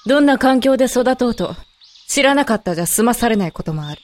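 A woman's voice: on the high side, lively intonation, fast, darkish tone, lightly acted. A woman playing a proud, blunt pregnant werewolf in a voice drama, with brusque, self-assured, masculine wording. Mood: matter-of-fact, stern. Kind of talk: Japanese amateur voice drama acting.

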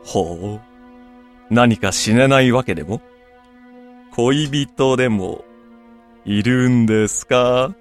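Slow, smug, polite male villain, acted. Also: medium pitch, soft background music, lightly acted. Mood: coolly mocking. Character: coldly polite, mocking male villain who hunts werewolves. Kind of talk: Japanese audio drama acting.

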